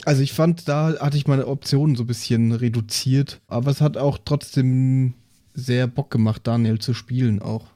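A man in casual chat, feeling his way through sentences with halting, slangy phrasing. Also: medium pitch, fairly even intonation, dark tone, no echo, studio quality. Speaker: player on a role-play podcast.

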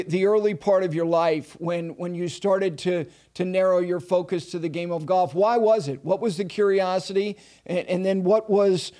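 High-pitched male interview host, emphatic delivery, halting restarts. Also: a little mellow. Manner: emphatic, halting restarts when asking questions. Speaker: podcast host. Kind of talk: interview hosting.

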